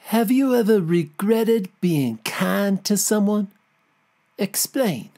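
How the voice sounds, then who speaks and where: Female educational presenter, soft dark tone, measured pace, expressive pitch. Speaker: discussion question presenter. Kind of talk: scripted educational reading.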